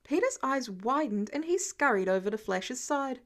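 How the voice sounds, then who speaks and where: Higher-pitched woman reading fiction aloud. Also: big pitch swings, moderate pace. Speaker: amateur audiobook reader. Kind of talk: scripted fiction narration.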